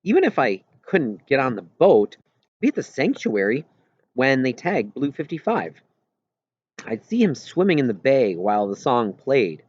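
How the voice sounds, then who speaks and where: A man's voice: mid-range voice, darkish tone, husky. A man reading a first-person story aloud as scripted audiobook narration. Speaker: audiobook reader. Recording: roomy echo, slightly dull lo-fi sound.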